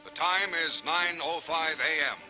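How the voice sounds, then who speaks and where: A man's voice: a little high, measured pace. A man with an American accent narrating a 1940s newsreel in a dramatic, formal announcer style. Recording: vintage newsreel soundtrack with loud music. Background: music.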